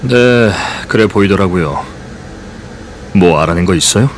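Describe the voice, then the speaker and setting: An actor playing a calm, stern male agent who talks in cool, curt, commanding lines. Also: sits low, measured pace, slight background noise. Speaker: government agent investigating paranormal cases. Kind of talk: professional audio drama acting. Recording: loud music score underneath.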